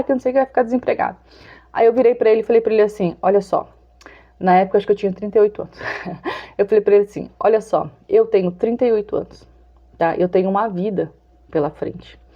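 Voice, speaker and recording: A woman's voice: on the high side, muffled recording. A Brazilian woman in her forties talks casually and openly to her viewers, chatty and confiding, often laughing. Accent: Brazilian Portuguese. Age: 40s. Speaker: vlogger. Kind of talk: casual talk to camera.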